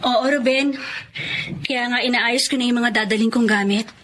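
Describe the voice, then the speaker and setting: Woman acting high-voiced, earnest Filipino elder sister. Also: steady tone, moderate pace, fairly bright tone, slight background noise, close to an everyday voice. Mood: relieved, earnest. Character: hurt but relieved hardworking young elder sister. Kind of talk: Filipino radio drama acting.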